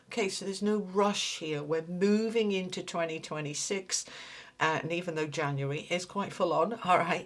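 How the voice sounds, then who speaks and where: A woman giving a casual tarot reading, chatty and reassuring, a little nasal from a cold. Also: low-pitched. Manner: chatty, reassuring, thinks aloud while pulling cards. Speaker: tarot reader.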